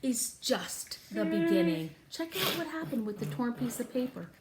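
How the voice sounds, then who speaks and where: Very animated woman reading to young children. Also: high voice. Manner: exaggerated, expressive teaching delivery with drawn-out words and gasps. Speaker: storytime teacher reading a picture book to a child. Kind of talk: interactive read-aloud for young children. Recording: echoey room with faint background noise.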